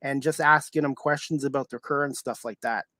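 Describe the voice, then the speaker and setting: A man's voice: medium pitch, steady tone, fairly quick, sharp tone, dry recording, studio quality. A middle-aged man hosting a sports podcast, chatting casually and earnestly with his guest. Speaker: podcast host. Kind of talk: casual interview chat.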